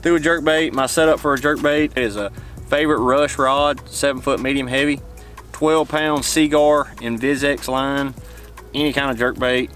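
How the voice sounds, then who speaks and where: A young man with a drawl, casually rambling about bass fishing from his boat on a lake. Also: fairly even intonation, normal speed, fairly bright tone, soft background music. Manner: drawling, rambling, talks to the fish. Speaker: fishing channel host. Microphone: camera mounted on the boat.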